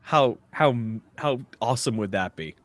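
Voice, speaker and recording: A man's voice: medium pitch, lively intonation, moderate pace, no echo. A man co-hosting a comedy podcast, bantering casually and doing comic readings. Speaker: podcast co-host.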